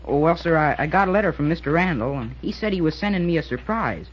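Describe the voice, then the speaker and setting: An actor playing an excitable, polite boy cadet who stammers and gushes in an old-time radio drama. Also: fairly high pitch, fast talker. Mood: polite, earnest. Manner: stammering, gushing, respectful. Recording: muffled, noisy old radio recording.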